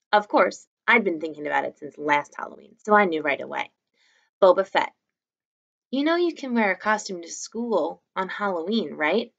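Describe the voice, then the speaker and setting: A woman's voice: low-pitched, gravelly, a bit bright, highs cut off. A young woman reading a children's novel aloud expressively, like a teacher to her students, in a small room at home. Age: young adult.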